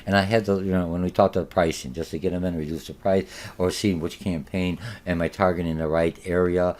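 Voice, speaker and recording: A man's voice: low-pitched, fairly even intonation, fairly quick, darkish tone. A man with a Polish accent, whose first language is Polish, giving a recorded college marketing lecture, rambling with many fillers and restarts.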